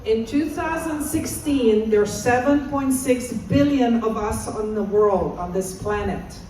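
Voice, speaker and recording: A woman's voice: fairly high pitch, unhurried, warm tone, slight background noise. A woman, giving an interactive conference talk as a presenter and encouraging the audience to call out answers. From Romania. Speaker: assistant professor and presenter. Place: large conference hall. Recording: echoey hall, voice far off.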